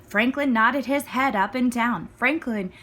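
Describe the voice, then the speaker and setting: Woman, children's story narration, high voice, bright, expressive phrasing. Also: measured pace. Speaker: storybook reader.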